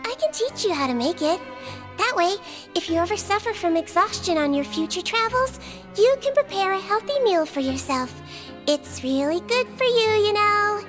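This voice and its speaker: Game role: small caring nurse creature, gentle, polite, very high-pitched, music underneath. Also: female voice, very animated, moderate pace. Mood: matter-of-fact, friendly. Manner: gentle, earnest, softly polite. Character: gentle young Melusine nurse, small, sweet, earnest and caring. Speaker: doctor. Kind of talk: video game voice acting.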